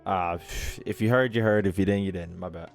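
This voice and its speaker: A man's voice: low-pitched, fairly quick, gravelly, faint music behind. A man livestreaming a game, talking in casual slang with a cocky, joking manner. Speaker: streamer reacting to a game.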